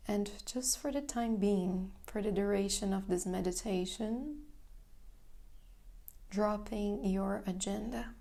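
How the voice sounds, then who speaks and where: A woman leading a guided meditation as teacher, calm, gentle and unhurried, with a soft, sometimes breathy voice. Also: medium pitch. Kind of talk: guided meditation, slow and soothing.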